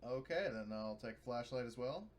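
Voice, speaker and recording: A man's voice: mid-range voice, lively intonation, moderate pace. A man talking casually as a player in a tabletop game, hesitant and thinking aloud.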